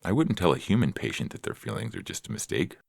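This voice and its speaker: A man playing a calm, reassuring therapist in a science fiction audio story, gentle and patient, with music behind him. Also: sits low, no room sound, clean studio sound, heavily acted. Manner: patient, gentle, measured questioning. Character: calm, reassuring male therapist to humans and robots. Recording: music clearly under the voice.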